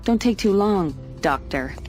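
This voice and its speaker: An actress playing a brisk, shrewd agent who takes charge in a video game cutscene. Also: female voice, medium pitch, lively intonation, darkish tone, faint music behind, natural voice. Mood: brisk, commanding. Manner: businesslike, in control.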